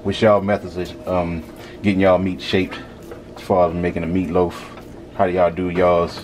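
A man in his thirties hosting a casual cooking vlog in a small home kitchen, talking to viewers in a relaxed, slangy way. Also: low voice, moderate pace. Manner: laid-back, slangy, talks to viewers as "y'all". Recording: loud hum behind voice.

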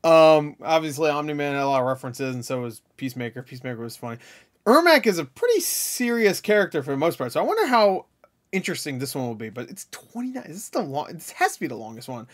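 Man, reaction host, somewhat high pitch, off-the-cuff, slangy, swearing, joking. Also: big pitch swings, fast. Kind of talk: casual off-the-cuff reaction commentary.

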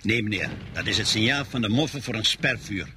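A man playing a dutiful front-line lieutenant in a radio drama, reporting in crisp military fashion. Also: on the low side, lively intonation, brisk, lightly acted. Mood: dutiful, alert. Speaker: actor in a radio play. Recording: slightly noisy old radio recording.

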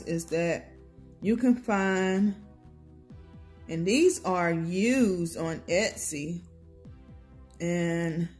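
An American woman vlogging casually at home, comparing prices in an unhurried, chatty way with lots of ums. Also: fairly low pitch, warm tone. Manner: chatty, unhurried, many ums and self-corrections. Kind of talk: casual product comparison vlog. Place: home table, indoors.

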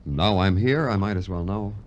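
Man playing a deadpan, hard-boiled city detective. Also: low voice, normal speed, lo-fi sound, lightly acted. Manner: deadpan, clipped in dialogue, brooding in narration. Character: terse, world-weary male big-city police detective. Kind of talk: 1950s hard-boiled radio drama acting.

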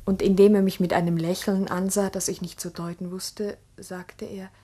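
An actress playing a reflective schoolmistress who narrates a 1960s German radio play in a composed, literary way. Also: female voice, mid-range voice, level tone, normal speed, mellow, slightly rough, close to an everyday voice. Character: village schoolmistress recounting events, reflective.

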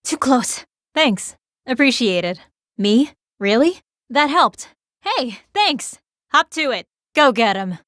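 A woman's voice: high-pitched, big pitch swings, slow pace, a bit bright, no echo, clean studio sound, heavily acted. A woman voicing a blunt, offhand young female fighter in a video game, casual and sardonic. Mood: wry, offhand. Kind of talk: short battle voice clips.

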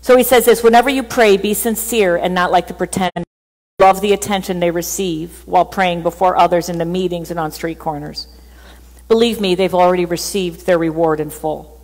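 A middle-aged woman preaching a sermon from a church stage, chatty and animated, sometimes laughing at herself. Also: on the low side, normal speed, long reverb tail, low-quality recording. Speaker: pastor. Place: church stage with band gear.

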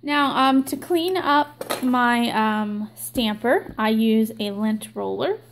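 A young woman hosting her own nail art channel, explaining a stamping tutorial slowly and with many ums. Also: a little high, slight background noise. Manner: slow, filler-heavy explaining with many ums. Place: indoors at a table, hands filmed from above.

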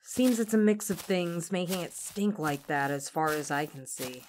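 A woman playing a bossy, composed female demon adventurer in an audio drama, speaking in a measured, commanding way. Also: lowish voice, darkish tone, a little hiss or hum, natural voice. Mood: wary.

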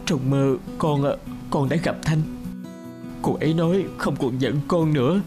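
A man playing a fragile, heartbroken grown son, halting and tender, in a cartoon melodrama. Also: a little high, over music, close to an everyday voice. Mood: fragile relief.

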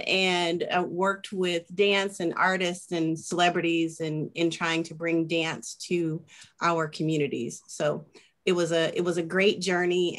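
A woman's voice: lowish voice, a bit bright. A woman guest on an online panel, speaking from a home office over a video call and telling her story with wry humor. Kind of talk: casual storytelling.

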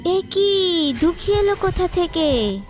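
A woman's voice: very high voice, expressive, takes it slowly, voice pushed up, exaggerated voice. A woman as a panicky guilty stepmother. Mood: frightened. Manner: panicky, pleading cries. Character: guilty stepmother, frightened and pleading. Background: background music.

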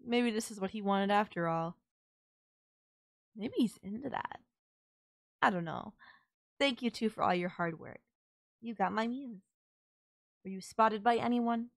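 A woman's voice: medium pitch, a little slow, creaky, long pauses, dry recording, studio quality. A woman playing a sultry, possessive kidnapper who gloats over and coaxes a captive in an intimate audio roleplay. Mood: smug, pleased. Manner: teasing, coaxing, controlling.

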